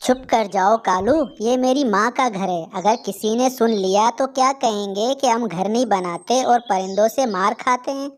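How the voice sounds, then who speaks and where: A woman's voice: on the high side, moderate pace, hardly any pauses, lightly acted. A woman voicing a bossy, scheming crow wife in a cartoon, scolding and commanding.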